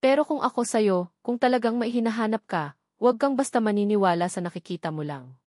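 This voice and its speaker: A woman's voice: medium pitch, lively intonation, brisk, a little mellow, no echo, studio quality, lightly acted. A woman voicing a curious, pitying old village gossip who confides in hints, in an audio drama. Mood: knowing, confiding. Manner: hinting, confiding.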